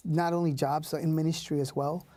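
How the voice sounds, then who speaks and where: A man's voice: on the high side, flat delivery, a little fast, mellow, no echo. A man in his thirties, born in Puerto Rico, talking casually as an interview guest with many fillers and restarts. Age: 30s. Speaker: youth leader.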